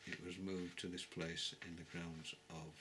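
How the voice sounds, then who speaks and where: An older man sits on a sofa at home and explains history into a close webcam. His voice is low, and he speaks in a measured way with pauses as he searches for words. Clear background noise runs under the recording. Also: level tone. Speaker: travel vlogger. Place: living room, seated on a sofa.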